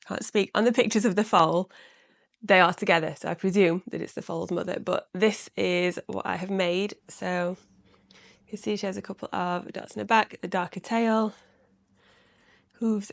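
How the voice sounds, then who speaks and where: A young woman vlogging from home about her crochet work, chatty and rambling with a slightly croaky voice from being unwell. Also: medium pitch, dry recording, clean studio sound. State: slightly croaky from recent illness. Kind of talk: casual chatty vlog. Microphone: close microphone.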